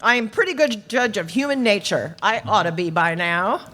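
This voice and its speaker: A woman playing a flustered, soft-hearted but stubborn older landlady in a radio play, rambling, hesitant and defensive. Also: mid-range voice, normal speed, natural voice. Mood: indignant, pleading. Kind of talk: live old-time radio play acting.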